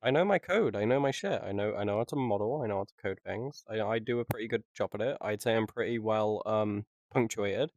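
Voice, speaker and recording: Low-voiced young man joking casually. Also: fairly quick, hardly any pauses, darkish tone, no room sound, studio quality. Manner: joking, self-mocking, laughs often. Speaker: podcast co-host. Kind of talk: casual chat.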